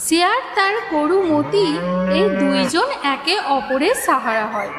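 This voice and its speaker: High, lively woman storyteller in reverb. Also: measured pace, over a noisy crowd. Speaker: narrator. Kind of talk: scripted children's story narration. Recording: echoey reverb, music underneath.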